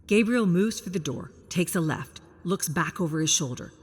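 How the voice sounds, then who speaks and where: A woman's voice: lowish voice, expressive, normal speed, breathy edge. A woman reading a scripted story as a dramatic, suspenseful narrator. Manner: present-tense suspenseful storytelling.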